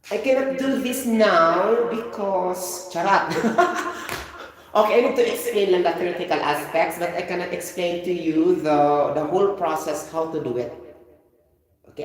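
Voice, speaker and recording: A man's voice: sits high, normal speed, a little hiss or hum. A man with a Filipino accent chatting playfully in a home remedy vlog, teasing and laughing at himself. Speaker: vlogger. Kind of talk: casual home remedy vlog, code-switching. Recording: very echoey room with long reverb.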